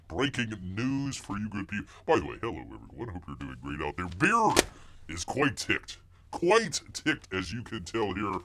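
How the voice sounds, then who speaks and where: A man's voice: lowish voice, fairly bright tone, exaggerated voice. A man voicing a bumbling, blustery male bear who rambles, stammers, talks about himself in the third person and keeps calling out to people. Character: bumbling blustery male bear, self-styled woods veteran. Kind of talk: comic character monologue.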